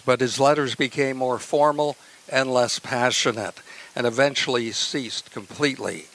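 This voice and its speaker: A male radio announcer with a mid-range voice reads from a script. His delivery is formal and measured, suited to credits and historical narration. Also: mid-range voice, fairly bright tone, rough recording.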